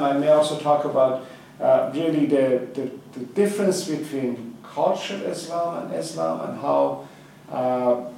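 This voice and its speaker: A man whose first language is Farsi, giving a public talk at a book gathering, with many pauses, fillers and restarts. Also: medium pitch, a little mellow, a little hiss or hum. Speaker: guest speaker at a book club gathering. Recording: echoey hall, far from the mic.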